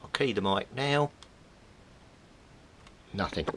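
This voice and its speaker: A man's voice: animated, slow pace, fairly bright tone, slightly rough. A middle-aged man narrates hands-on repair work informally, thinking aloud as he goes. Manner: thinks aloud while working. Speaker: hobbyist radio repairer and video maker. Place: indoor cluttered home workbench. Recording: rumbly background noise.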